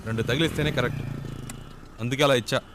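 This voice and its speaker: Actor as brisk, cocky male cab driver. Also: mid-range voice, steady tone, noisy background, close to an everyday voice. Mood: cool confidence. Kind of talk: film comedy acting. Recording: film audio with music behind.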